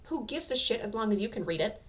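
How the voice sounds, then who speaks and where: A woman voicing a brash, impatient young male anime hero in a fan fiction reading, with a gruff, bossy, profane way of talking. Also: fairly high pitch, lively intonation, fast, fairly bright tone, voice pushed up. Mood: exasperated, blunt.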